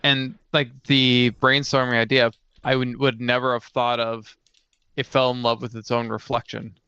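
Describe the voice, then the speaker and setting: Male co-host with a resonant, fry-edged voice for informal fantasy chat, dry lo-fi sound. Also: medium pitch, flat delivery, normal speed, sharp tone, no room sound. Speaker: podcast co-host. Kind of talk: casual chat, brainstorming tabletop fantasy lore.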